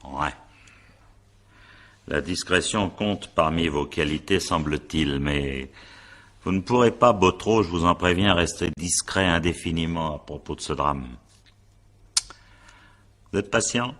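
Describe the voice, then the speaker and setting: An actor playing a shrewd, patient examining magistrate in a French radio crime drama, probing with hesitations and polite irony. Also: male voice, deep voice, measured pace, long pauses, a bit bright. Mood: dryly ironic.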